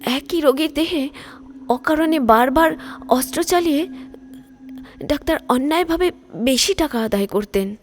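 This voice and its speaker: A woman playing a weak, wronged lady doctor in an audio drama, recounting in a halting, distressed way. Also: sits high, animated, soft background music. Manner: halting, distressed, repeats words. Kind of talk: dramatised audio story acting.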